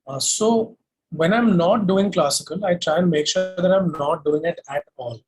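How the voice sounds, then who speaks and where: A man's voice: high-pitched, normal speed, poor audio. A man with an Indian accent, a singer-songwriter giving earnest, emphatic, articulate answers in a conversational interview.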